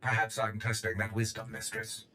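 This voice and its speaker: A man's voice: fairly low pitch, monotone, moderate pace, sharp tone, a little hiss or hum. A man voicing a courteous, philosophical droid servant in a video game, formal and polite. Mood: wry.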